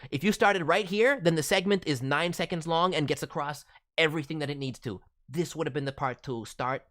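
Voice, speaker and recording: A man's voice: on the high side, a little fast, fairly bright tone. A man speaking as a voice director, giving casual, enthusiastic and encouraging critique. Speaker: voice director reviewing demo reels.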